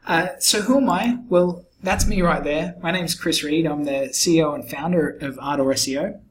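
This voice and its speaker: A man with a croaky, hoarse voice talking a client through a website review and sales pitch in a relaxed, conversational way. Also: moderate pace, slight background noise. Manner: conversational, explanatory, frequent fillers and restarts. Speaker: SEO agency founder pitching to a client.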